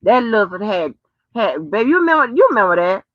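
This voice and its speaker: A woman's voice: medium pitch, very animated, a little fast, no echo. An American woman chatting casually and profanely, drawing out her exclamations and using folksy terms of address. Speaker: host. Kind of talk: casual rambling chat to viewers and on the phone. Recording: dull lo-fi sound.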